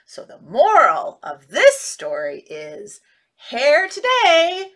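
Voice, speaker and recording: A middle-aged woman hosting children's story time, reading and talking expressively with drawn-out, exaggerated words. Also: high-pitched, huge pitch swings, fairly bright tone. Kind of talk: animated picture-book read-aloud for young children. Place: small indoor room.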